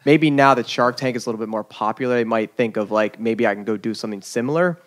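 A man's voice: medium pitch, fast. A male podcast host asking long, winding interview questions.

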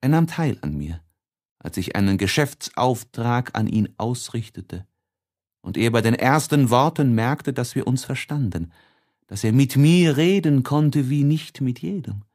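A man reading literary prose as an audiobook narrator, expressively and with deliberate, measured phrasing. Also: mid-range voice, animated, darkish tone.

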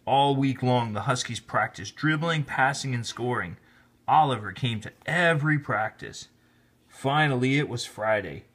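A man reading a children's story aloud in an expressive, deliberate storytelling manner, with casual asides. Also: medium pitch. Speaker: storybook reader, teacher. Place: indoors, filming the book held in hand.

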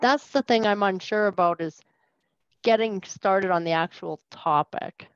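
A woman's voice: medium pitch, measured pace, clean tone, no room sound. A woman in her sixties speaking hesitantly and informally as a participant on a video call. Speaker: workshop participant. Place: cluttered home room, indoors. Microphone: headset on video call. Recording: dull, dry video-call audio.

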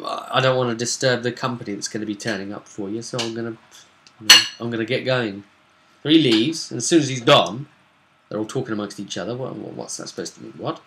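A British man in his thirties casually recounting a tabletop game to camera in a halting, unscripted way, in a small room at home. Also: on the low side, bright, forceful tone, slight background noise. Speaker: game master recapping a tabletop role-play session.

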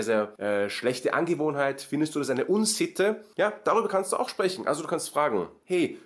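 A man talks learners through a lesson at a careful pace, restating each point in simpler words. His voice sits at a middling pitch, and he brightens a little when he acts out the questions learners might ask. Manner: repetitive, rephrases often, acts out example questions. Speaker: German teacher. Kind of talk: slow explanatory lesson for learners.

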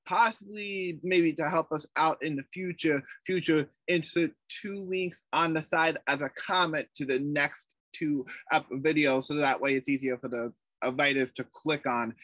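An American man co-hosting a casual update, halting often with restarts and fillers. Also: on the high side, a bit bright, no room sound. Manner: hesitant, restarts a lot. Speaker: co-host, writing lead. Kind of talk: casual video call update.

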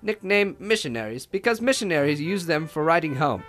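A man's voice: a little high, very animated, a little fast, fairly bright tone, soft background music. A man playing a shrewd, pompous, imperious armchair detective in an old-time radio drama, speaking formally and theatrically. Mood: explaining.

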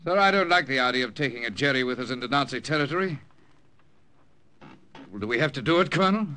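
A man's voice: medium pitch, lively intonation, fast talker. A man acting a blunt, mistrustful British corporal in an old radio war drama. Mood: indignant, distrustful. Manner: blunt, forceful. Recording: noisy old radio recording.

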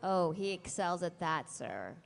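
A woman's voice: on the low side, sing-song, a little slow, vocal fry, exaggerated voice. A woman voicing a polite, fussy servant robot with prim manners at a comic table read. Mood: deferential. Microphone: table microphone. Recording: slightly noisy live convention hall.

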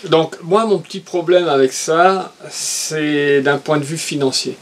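Brief: middle-aged male co-host, slightly high voice, opinionated and animated, seated in a room full of collectibles. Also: measured pace. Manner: animated, opinionated, gestures while talking. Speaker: co-host, father. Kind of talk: casual chat. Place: room with shelves of toys and merchandise.

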